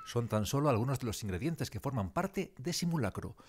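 A man's voice: mid-range voice, very animated, brisk, noisy background. A man reading scripted promotional news narration.

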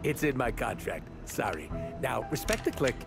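An actor voicing a wisecracking antihero mercenary in a superhero video game, flippant and theatrical, full of rambling asides. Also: male voice, medium pitch, very animated, moderate pace, gravelly. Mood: gleeful, joking. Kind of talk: video game cutscene acting. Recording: loud music or ambience behind.

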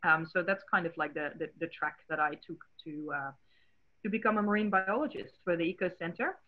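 A woman's voice: fairly low pitch, a little fast. A woman from the Netherlands with a Dutch accent, a marine biologist presenting slides on a video call in a friendly, explanatory way, with many fillers. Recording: tinny phone-like call audio.

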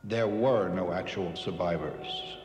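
A man playing a stern, formal alien commander in a cartoon, speaking gravely. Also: low-pitched, takes it slowly, darkish tone. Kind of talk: 1970s TV cartoon acting. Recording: echoey reverb, faint cartoon music.

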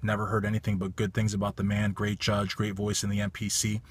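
A man in his thirties with a raspy voice, giving a blunt, emphatic bodybuilding opinion vlog from a parked car on a phone held at arm's length. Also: lowish voice, monotone, fairly quick. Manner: blunt, emphatic, repeats stock phrases. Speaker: bodybuilding commentator.

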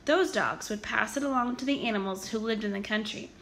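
A woman reading a children's story aloud in an expressive, animated storytelling manner with emphatic stresses. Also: on the high side, fairly quick, slight background noise. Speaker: storybook reader.

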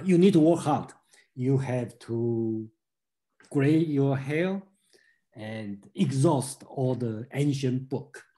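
A man's voice: medium pitch, warm tone. A man in his sixties from Taiwan hosting an online discussion group on a video call from home, talking with many fillers and restarts. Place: home room. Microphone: laptop webcam on a video call.

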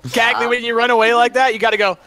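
A woman's voice: fairly high pitch, level tone, rapid-fire, crowd noise. A woman in casual gaming chat with friends, joking and given to exaggerated reactions. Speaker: gamer in a group let's play series.

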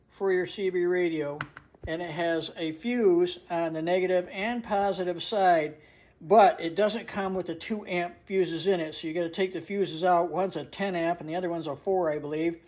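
A woman's voice: fairly low pitch, moderate pace, darkish tone. An older woman giving a casual hands-on tutorial in a plain-spoken, practical way. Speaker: hobbyist demonstrator. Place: indoors at a wooden workbench.

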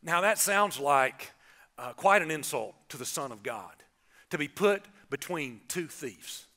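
A man, preaching an animated Easter sermon from a church stage and calling on the congregation to answer. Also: fairly high pitch, a little slow. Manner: animated, gestures broadly, calls on the congregation. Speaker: pastor. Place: church stage, large indoor auditorium.

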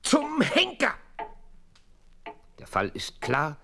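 An actor playing a brilliant, impatient detective in a German radio play, with a deliberate, dramatic delivery and hushed phrase endings. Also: male voice, sits high, wildly swooping pitch, creaky, background noise, heavily acted. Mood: impatient, resolute. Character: brilliant, theatrical male detective, sure and impatient.

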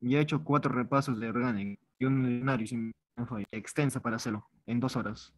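A man's voice: mid-range voice, fairly even intonation, fast, no room sound. A man from Peru teaching an online chemistry review class, informal and repeating words as he writes out problems. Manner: informal, repeats words while writing on a board. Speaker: chemistry tutor.

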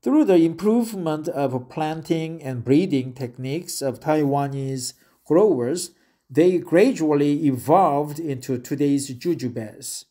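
A man reads aloud at a very slow, halting pace. His voice is fairly high but dark and mellow. He keeps repeating phrases and tripping over hard words. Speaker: English learner reading aloud. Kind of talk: reading a web article aloud with asides.

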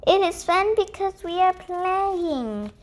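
Lady doing a tiny happy unicorn, super high and bouncy, storybook voice, swoops around. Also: animated, takes it slowly, a little hiss or hum, heavily acted. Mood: excited. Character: perky, eager, playful little female unicorn. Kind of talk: children's picture book read-aloud.

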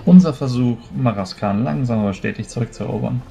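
A man's voice: medium pitch, brisk, gravelly, dark tone, close to an everyday voice. A man playing an earnest, experienced noble adventurer in a tabletop roleplay, speaking formally and with measure. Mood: earnest, measured. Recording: music behind.

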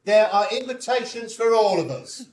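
A man acts a henpecked, mild-mannered father and old soldier in pantomime style. His voice is high for a man, hesitant and gently blustering. It is captured in a roomy, echoing stage space. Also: normal speed, lightly acted. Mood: mild, pleased. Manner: hesitant, mildly indignant, proper. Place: indoor stage, amateur theatre performance. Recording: roomy stage recording, noticeable background noise.

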